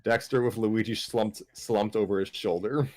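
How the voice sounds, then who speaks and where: A man's voice: on the low side, normal speed, a little mellow. A man narrating a scripted comedy story as part of an amateur read-through.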